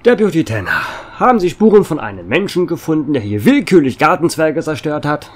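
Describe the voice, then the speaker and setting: A man's voice: a little high, very animated, a little fast. A man playing a weary, authoritative small-town sheriff with a dry sarcasm, in an amateur audio drama. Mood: stern. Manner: authoritative, sardonic.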